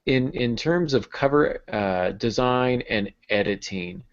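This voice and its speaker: A man's voice: mid-range voice, expressive, slow. An American man in his thirties casually interviewing as a podcast host over a video call. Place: home room with bookshelves. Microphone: video call with earbuds. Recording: dull video-call audio.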